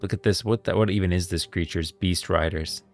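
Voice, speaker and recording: A man's voice: sits low, fairly even intonation, brisk. A man giving casual, enthusiastic livestream commentary on game lore, emphatic and opinionated. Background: background music.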